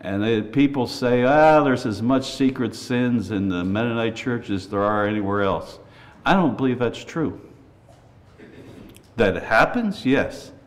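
An older man preaching a sermon in church, earnest and measured, with folksy asides and a slightly raspy voice. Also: medium pitch, expressive.

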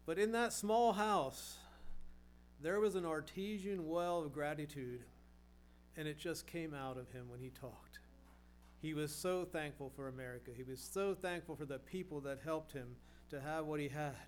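A man's voice: on the high side, moderate pace, a little hiss or hum. A middle-aged man preaching a sermon, earnest, asking rhetorical questions and often repeating words as he goes.

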